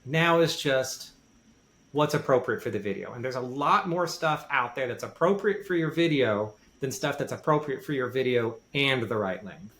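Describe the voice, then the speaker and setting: A man's voice: mid-range voice, animated, moderate pace, a bit bright. Man explaining casually in an echoey room. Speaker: podcast guest with a music background. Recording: roomy, echoey sound.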